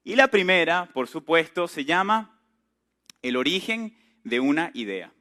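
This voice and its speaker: Man in his thirties, fairly high voice, crisp bright tone, chatty storyteller on stage. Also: big pitch swings, unhurried. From Venezuela. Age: 30s. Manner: chatty storytelling, asks the audience questions. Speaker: entrepreneur speaker. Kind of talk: conference talk to a live audience.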